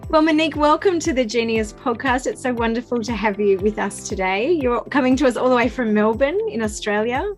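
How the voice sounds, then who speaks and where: A woman's voice: on the high side, fairly quick, few pauses, soft background music. A woman hosting a podcast interview, encouraging her guest with frequent affirmations. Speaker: podcast host. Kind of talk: interview chat.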